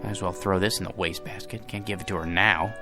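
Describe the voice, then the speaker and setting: An actor playing a meek, self-deprecating, lonely office worker in a radio drama, speaking in a hesitant, halting way. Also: male voice, sits low, steady tone, lightly acted. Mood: bitter, dejected. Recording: music loud behind the voice.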